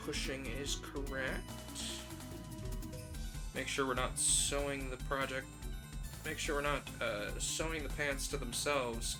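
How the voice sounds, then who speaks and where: A man hosting a craft livestream, chatting casually and wryly, with playful acted-out asides. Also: mid-range voice. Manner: playful, wry, acts out asides. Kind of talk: casual chat while demonstrating hand stitching. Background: music.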